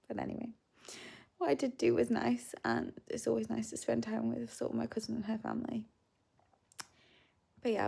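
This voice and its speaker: A young woman talks candidly to her camera, sharing her days in a loose diary-style vlog. Her voice sits at an ordinary middle pitch but carries a noticeable creaky fry throughout. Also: dark tone, no echo. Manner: chatty and candid, talking straight to camera. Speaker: vlogger.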